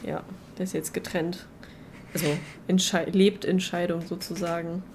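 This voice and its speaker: A woman's voice: fairly low pitch, flat delivery, normal speed, a loud crowd. A young woman co-hosting a podcast in casual chat, with a joking, ironic manner. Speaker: podcast co-host.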